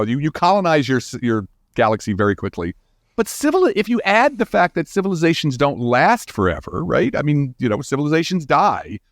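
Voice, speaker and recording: A man's voice: medium pitch, no room sound, studio quality. A man speaking as a scientist guest in an interview, explaining ideas informally and animatedly, often restarting his phrases. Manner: animated, informal, halting restarts with frequent 'you know'.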